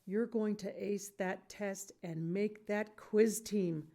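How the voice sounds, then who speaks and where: A woman's voice: mid-range voice, fairly even intonation, takes it slowly, dark tone. A woman voicing an easygoing, affectionate father in a storybook, teasing and encouraging in manner.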